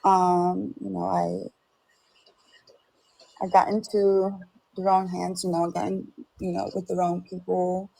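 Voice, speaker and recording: Young woman guest from Ukraine; low, slow, halting delivery with a creaky edge. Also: monotone, low-quality recording. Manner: halting, hesitant, soft-spoken. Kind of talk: personal testimony. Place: remote call.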